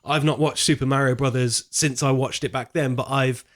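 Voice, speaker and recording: A man's voice: mid-range voice, steady tone, moderate pace, a bit bright. A man co-hosting a podcast, discussing films casually and thinking aloud, often repeating a word as he goes. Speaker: podcast co-host.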